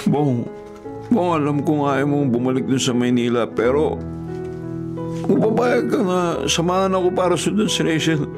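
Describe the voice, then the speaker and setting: A humble young Filipino gardener played by an actor in a radio drama. His voice sits a little high, heartbroken and pleading, devoted to the one he loves. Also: normal speed, over music, close to an everyday voice. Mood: pleading, determined. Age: young adult. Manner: earnest, pleading.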